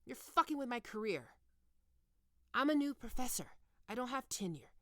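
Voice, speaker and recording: A woman's voice: a little high, sing-song, normal speed, no echo, clean studio sound. A woman playing a stern, taunting goth college professor in an ASMR roleplay, with a scolding, condescending manner. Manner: scolding, condescending, dropping into breathy murmurs.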